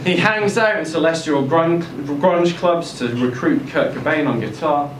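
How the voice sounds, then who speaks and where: A man's voice: a little high, a little slow, crowd noise. A man reading a comic story dramatically at a live literary event. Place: indoor social room at a live event. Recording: echoey venue, distant camera mic, faint noise.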